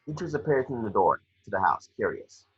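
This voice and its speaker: A man's voice: on the low side, big pitch swings, a little fast, slightly rough. A man reading scene descriptions as scripted narration for an amateur table read, on a lo-fi microphone. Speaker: action description reader. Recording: dull, lo-fi microphone sound.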